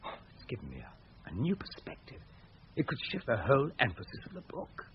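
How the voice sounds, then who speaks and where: A man's voice: mid-range voice, wildly swooping pitch, fairly quick, slight background noise. A man acting in a radio drama as a suspicious, obsessive husband, a pedantic researcher who questions insistently. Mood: intent, musing. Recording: muffled lo-fi radio drama recording.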